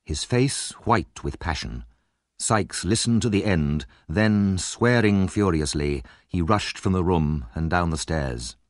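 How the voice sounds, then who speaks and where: Low-voiced man narrating a dramatic audiobook. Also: expressive, takes it slowly, no echo, studio quality.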